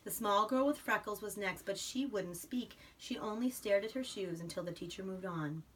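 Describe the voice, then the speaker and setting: A woman reading a picture book aloud to a young child in an expressive storybook style, with simple chatty asides. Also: mid-range voice, normal speed. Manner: animated storybook reading, simple child-directed explanations and prompts. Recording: noisy, distant home microphone. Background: room noise and a young child's voice.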